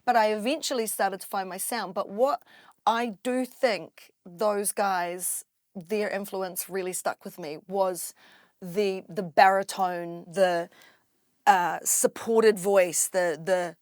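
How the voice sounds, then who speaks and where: A young woman with a New Zealand accent and a low voice, an MC telling her story in a lively, animated way as a podcast guest. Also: slow pace, dry recording, studio quality. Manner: lively, animated storyteller who acts out quotes. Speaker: drum and bass MC, guest. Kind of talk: casual interview storytelling.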